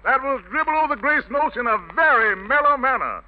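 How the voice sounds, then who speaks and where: A man with a strikingly high voice hosts an old 1940s American radio variety show. He is an American emcee who blends hip jive slang with mock-learned wordplay. The sound is a muffled, lo-fi vintage broadcast. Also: expressive, brisk, slight background noise. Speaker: radio show host, master of ceremonies. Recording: dull, lo-fi vintage radio recording.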